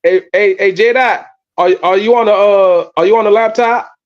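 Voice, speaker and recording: A man with a fairly high voice hosts an informal live sports chat. He talks in loose slang, doubling back on words and repeating them. The sound is slightly dull and muffled, coming through a phone. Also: normal speed. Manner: slangy, repetitive, stumbling over words. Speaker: host of a live sports fan show. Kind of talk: casual live-stream chat about college football.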